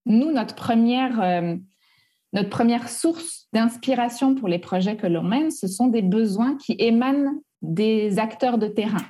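Husky Québécoise research director. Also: female voice, on the high side, lively intonation, a little slow, dark tone. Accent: Québécois French. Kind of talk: interview answers.

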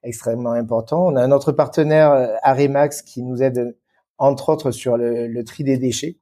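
Mellow man explaining, feeling for words. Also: mid-range voice, fairly even intonation, moderate pace. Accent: French, non-Lyon regional. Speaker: interview guest, event venue professional. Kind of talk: conversational explanation in an interview.

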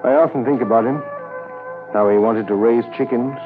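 An actor narrating a 1950s radio drama as a sober, reflective Mountie, measured and formal. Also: male voice, medium pitch, narrow, tinny audio, over music, lightly acted. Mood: reflective, regretful.

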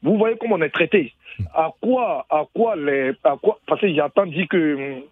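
A man's voice: fairly high pitch, moderate pace, no echo. A man calling in to a radio show, stammering and repeating his words as he gives his view. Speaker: radio caller. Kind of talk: phone-in opinion. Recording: tinny phone line.

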